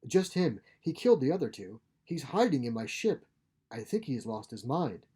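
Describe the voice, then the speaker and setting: Exiled sci-fi scientist played by a male reader: glib schemer, theatrical, husky, mellow. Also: medium pitch, big pitch swings, normal speed. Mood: feigned panic.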